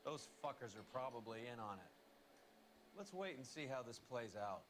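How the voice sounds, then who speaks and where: A cynical 1940s detective sidekick in a crime game. He is dry and wisecracking, with a medium-pitched voice. There is clear noise behind him. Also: sing-song, normal speed, a bit bright. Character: cynical, wisecracking male police detective partner in 1940s Los Angeles. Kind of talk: scripted game dialogue.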